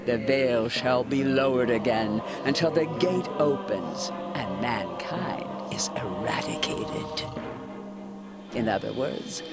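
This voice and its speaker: A man voicing an ominous eldritch god in a video game, a stern elder uncle speaking formally and deliberately with condescending menace. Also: mid-range voice. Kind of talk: theatrical video game villain monologue. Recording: music behind the voice.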